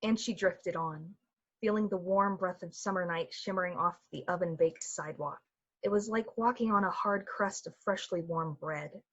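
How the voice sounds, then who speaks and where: A woman narrating a radio play in a measured, atmospheric, dramatic storytelling style. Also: fairly low pitch, fairly bright tone, slightly rough, highs cut off. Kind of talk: literary radio-play narration in 1940s manner. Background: music.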